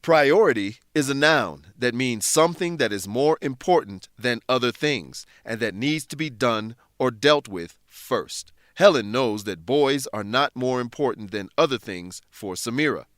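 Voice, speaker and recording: Male narrator for a language-learning programme: structured, explanatory scripted read, medium pitch, very dry sound. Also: animated, a little slow, hardly any pauses, a bit bright, dry recording. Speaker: narrator and English teacher.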